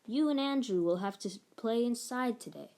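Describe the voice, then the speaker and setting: Woman playing a gentle, soothing storybook mother. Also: fairly high pitch, lively intonation, moderate pace. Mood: gentle, reassuring. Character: gentle, affectionate mother of a small boy.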